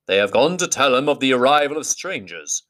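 A man voicing an old wizard, measured and formal in speech. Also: medium pitch, very animated, fairly bright tone, pitched above the performer's own voice. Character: old male wizard, courteous and grave.

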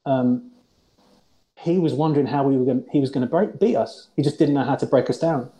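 A man's voice: mid-range voice, brisk, mellow, low-quality recording. A man, a former head coach, talking earnestly about past matches in a casual chat, often restarting his sentences.

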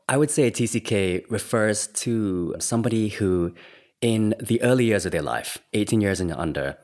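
A man, a podcast guest telling his story in a chatty, easygoing way. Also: low voice. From Singapore. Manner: chatty and easygoing, with small laughs and hedges. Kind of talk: relaxed conversational storytelling.

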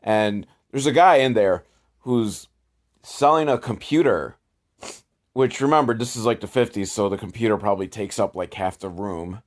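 A man's voice: on the low side, normal speed. A man hosting a casual comedic commentary on old films, with a sarcastic, profane way of talking. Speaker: host reviewing old educational films.

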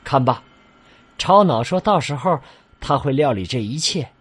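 A man's voice: medium pitch, animated, normal speed, slight background noise, close to an everyday voice. A man playing a patient, tender father explaining things to his children in a science-fiction radio drama. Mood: reassuring.

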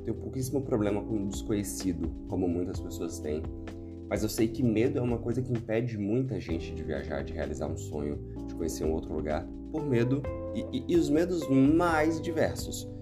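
Brazilian male podcast host, low hoarse voice, casual and playful, guitar music behind. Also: animated, a little fast. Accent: Brazilian Portuguese. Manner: chatty and upbeat, with playful asides. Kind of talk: casual solo podcast monologue.

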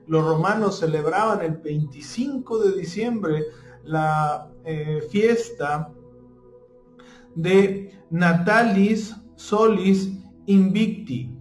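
A man giving an informal spiritual talk, pausing often with fillers and checking in with listeners after nearly every phrase. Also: high voice. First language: not Spanish (joking). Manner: halting, many fillers, stumbles over names. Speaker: spiritual teacher. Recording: echoey room with faint music.

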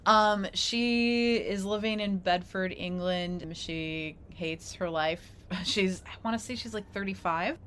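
A woman's voice: medium pitch, slow pace, hardly any pauses, fairly bright tone, clear, resonant tone. A woman co-hosting a podcast in casual conversation, chatty and giggly in an informal way. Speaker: podcast co-host. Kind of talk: casual chat.

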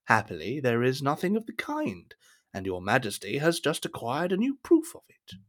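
An actor playing a scheming, falsely humble cardinal in an audio drama, with a theatrical, unctuous manner. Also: male voice, mid-range voice, huge pitch swings, moderate pace. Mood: feigned concern.

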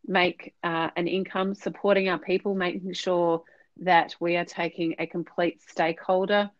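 A woman with a lowish voice talks through her business ideas in an earnest, explanatory way, dotting her sentences with fillers. The sound is dull and lo-fi, with a close, dry quality and no room around it. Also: level tone, moderate pace, no room sound. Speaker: podcast guest, business consultant. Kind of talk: conversational interview answers.

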